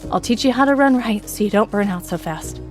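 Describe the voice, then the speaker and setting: A woman voicing an annoyed, bossy young female student in an audio drama, brisk and sarcastic but helpful. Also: medium pitch, soft background music, close to an everyday voice. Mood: firm, instructing.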